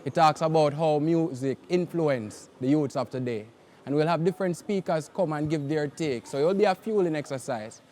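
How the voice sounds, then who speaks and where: A man's voice: a little high, moderate pace, darkish tone. A man with a Jamaican accent co-hosting a live outdoor event, laid-back and a little unsure on air. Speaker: co-host. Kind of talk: live event broadcast chat.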